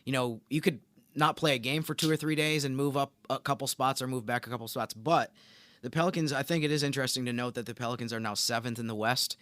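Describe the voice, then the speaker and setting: A man's voice: mid-range voice, steady tone, fast talker, a bit bright, dry recording. Man co-hosting a sports podcast, dry close sound. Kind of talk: casual basketball discussion.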